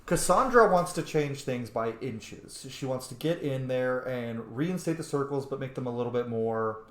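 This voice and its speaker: A man's voice: medium pitch, animated, moderate pace, reverberant. A man giving informal roleplay commentary over a video game, musing aloud about his character's choices. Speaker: gaming host, roleplayer.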